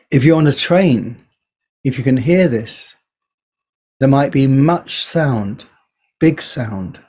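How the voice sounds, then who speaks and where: A man leading a guided body scan meditation as a teacher, speaking gently in short phrases with unhurried pauses. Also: fairly high pitch.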